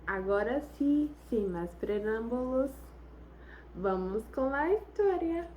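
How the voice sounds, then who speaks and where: Slow, hesitant woman. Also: on the high side, expressive, muffled recording, a little hiss or hum. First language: not Spanish. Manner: hesitant, apologetic, searching for words. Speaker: channel host. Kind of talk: casual channel intro.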